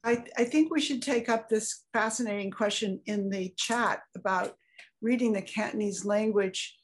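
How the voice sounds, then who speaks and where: A woman's voice: medium pitch, moderate pace, a little hiss or hum. An older woman moderating an online panel from her home office, posing questions to the panelists. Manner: inquisitive, poses questions to panelists. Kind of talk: panel discussion on a video call. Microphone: webcam on a video call.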